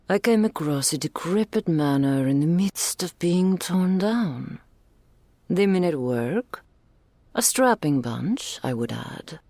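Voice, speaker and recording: A woman's voice: sits low, a little mellow, no room sound, full character voice. A woman voicing a doting, regal female dragon in human form, speaking intimately to the listener in ornate, archaic phrases as an ASMR roleplay.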